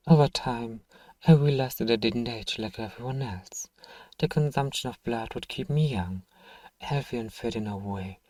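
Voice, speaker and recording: A man playing a soft, distant, ancient vampire. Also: medium pitch, no echo, close to an everyday voice. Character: thousand-year-old male vampire, nostalgic, tender, sorrowful. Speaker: ASMR role-play performer. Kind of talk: scripted ASMR storytelling role-play.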